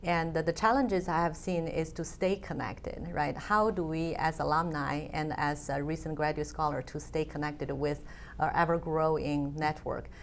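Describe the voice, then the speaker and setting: A woman's voice: sits low, fairly even intonation, moderate pace, a little hiss or hum. A woman, a guest in an interview, speaking thoughtfully with frequent fillers. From China. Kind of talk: conversational interview.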